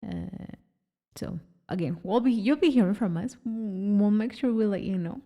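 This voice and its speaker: A woman with a Puerto Rican Spanish accent co-hosting a podcast, speaking in a relaxed, casual way. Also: medium pitch, normal speed. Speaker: podcast co-host. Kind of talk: casual chat.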